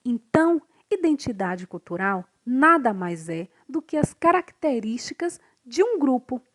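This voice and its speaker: A woman reading definitions aloud in a steady, explanatory way for a lesson. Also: high-pitched, unhurried. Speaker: narrator of definitions.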